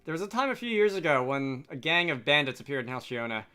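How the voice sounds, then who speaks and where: A man's voice: on the high side, sing-song, fast talker, pushed and bright. A man voicing an earnest, cautious young princess from a game with proper phrasing. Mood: admiring. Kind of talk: reading game dialogue aloud. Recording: game music behind.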